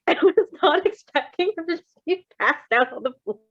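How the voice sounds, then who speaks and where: A woman reading fan fiction aloud in a casual, giggly, expressive way, breaking into chatty asides. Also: high voice, brisk, highs cut off. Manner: giggly, expressive, exclaiming and swearing. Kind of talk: giggly live read-aloud with casual chat.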